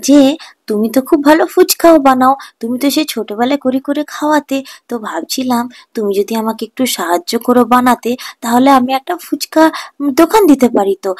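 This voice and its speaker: A woman voicing an affectionate, eager young village grandson in a cartoon folk tale, chatty and coaxing. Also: sits high. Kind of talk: animated children's folk tale acting.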